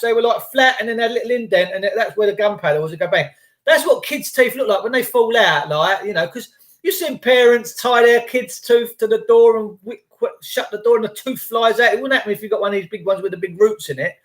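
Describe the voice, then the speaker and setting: A man's voice: very high voice, brisk, a bit bright. An Englishman hosting a livestream, rambling through conspiratorial tangents, swearing freely and answering chat. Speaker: livestream host reading chat. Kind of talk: rambling live chat monologue.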